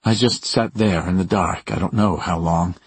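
A man voicing a haunted, defensive woman in an audiobook, urgent and halting, with a raised husky voice. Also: deep voice, dry recording. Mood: uneasy, confiding. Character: haunted, defensive woman recounting a traumatic night. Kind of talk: audiobook character dialogue.

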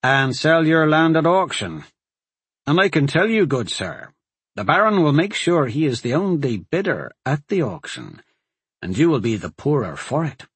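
A man voicing a sheriff, loyal to his lord and firm but uneasy, speaking in a measured, cautioning way. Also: a little high, big pitch swings, a bit bright, slightly rough, no room sound, pitched above the performer's own voice, full character voice. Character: worried loyal sheriff, middle-aged man, stern.

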